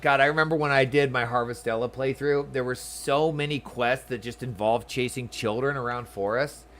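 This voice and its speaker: A man's voice: medium pitch, fairly even intonation, moderate pace, fairly bright tone. A man doing casual game playthrough commentary, with wry, joking asides. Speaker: gaming video host. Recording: music under the voice.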